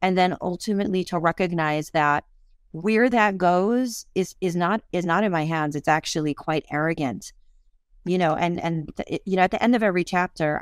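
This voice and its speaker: Woman, fifties, parenting coach, earnest, reflective, lower voice, dry close recording. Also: dry recording. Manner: earnest, reflective, self-deprecating. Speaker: parenting coach and author, guest. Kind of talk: podcast interview conversation.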